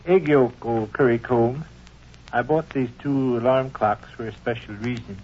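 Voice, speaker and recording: A man's voice: mid-range voice, steady tone, measured pace, slight background noise, close to an everyday voice. An actor in an old-time radio comedy playing a sheepish, scheming father who speaks in stilted, flowery, hesitant phrases. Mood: pompous, evasive. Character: sheepish scheming father, pompously wordy, coaxing his son. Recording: dull, muffled old radio recording.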